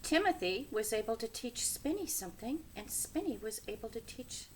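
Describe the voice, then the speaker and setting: A woman's voice: a little high, sing-song, normal speed, slight background noise. A woman reading a children's storybook aloud with dramatic, emphatic phrasing. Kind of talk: expressive children's storybook narration.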